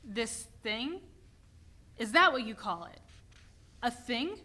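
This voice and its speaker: A woman's voice: a little high, very animated, slow pace, sharp tone, full character voice. A woman playing a stressed, driven mother and restaurant owner in a dramatic play reading. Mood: exasperated, defensive.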